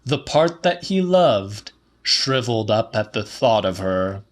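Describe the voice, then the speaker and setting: A man narrating literary fiction in a deliberate, slightly dramatic audiobook style. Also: fairly low pitch, very animated.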